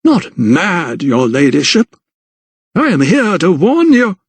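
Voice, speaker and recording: A man's voice: high-pitched, expressive, creaky, slightly rough, no echo, voice pushed up, heavily acted. A man voicing a sinister, condescending elderly vicar, a religious fanatic, in an audiobook. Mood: coaxing, insistent. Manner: slow, sanctimonious, unctuous. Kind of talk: dramatised audiobook character dialogue.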